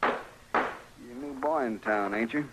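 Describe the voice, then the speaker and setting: A man's voice: medium pitch, slow, lightly acted. Man playing a folksy drawling American stable keeper. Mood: folksy, teasing. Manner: drawling rustic speech. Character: folksy, plain-spoken male stable keeper in frontier town. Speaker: actor in a radio western. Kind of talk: old-time radio western acting. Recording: noisy old radio recording.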